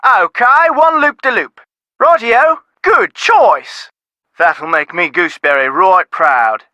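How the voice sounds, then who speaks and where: A man's voice: high voice, expressive, takes it slowly, sharp tone, dry recording, muffled recording, heavily acted. A man playing a chirpy, chatty Cockney pub barman in a video game, full of rhyming slang. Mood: chirpy, helpful. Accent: Cockney London. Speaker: pub worker taking phone orders.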